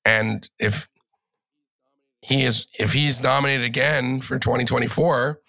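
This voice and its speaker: Man, raspy from a cold, crackly voice, dry close sound, rambling guest chat. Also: medium pitch, steady tone, unhurried, pushed and bright, no room sound. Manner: rambling, many restarts and fillers. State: has a cold. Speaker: podcast guest. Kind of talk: casual political chat.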